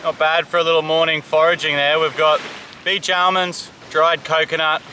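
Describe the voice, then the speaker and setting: A man's voice: a little high, level tone, moderate pace, bright, forceful tone, slight background noise. Upbeat young man outdoors by surf. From Samoa. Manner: upbeat, enthusiastic tour-guide talk. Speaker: survival vlog host. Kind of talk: casual outdoor explainer. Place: outdoors on a tropical island beach.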